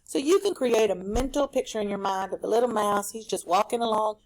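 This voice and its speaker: A woman teaching a recorded reading lesson for children at home, explaining a story in an animated, explanatory way. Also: mid-range voice, a little fast, rough recording.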